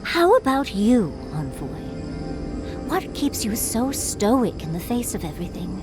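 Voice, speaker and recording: A woman's voice: on the high side, sing-song, measured pace, over music. A woman voicing a playful, vain adventurer in a video game, theatrical and teasing. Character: playful, flirty, vain female adventurer companion.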